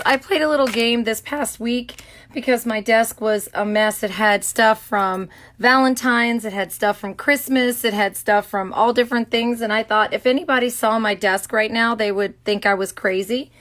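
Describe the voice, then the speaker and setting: A middle-aged woman, hoarse from a sore throat, talks through a casual craft demo in her home studio. She greets viewers by name and rambles with plenty of ums. Her voice comes from a camera mic at her desk. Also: fairly high pitch, fairly bright tone. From Florida. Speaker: craft livestream host.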